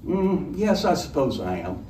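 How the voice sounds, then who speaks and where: A man's voice: on the high side, big pitch swings, a little slow, mellow. Storybook young gent in his cups, male reader: genteel, boastful, slurred, miked from a distance with some noise. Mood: tipsy, airy. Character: tipsy young gentleman traveller, airy and boastful. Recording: roomy, distant mic, background noise.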